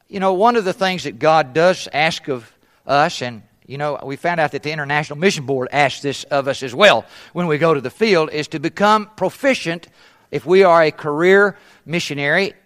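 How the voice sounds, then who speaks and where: Man, missionary preacher raised in East Africa, fairly high voice; emphatic sermon delivery that sinks to a hush. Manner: emphatic, trails off to a hush at phrase ends; asks rhetorical questions. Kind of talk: sermon at a conference.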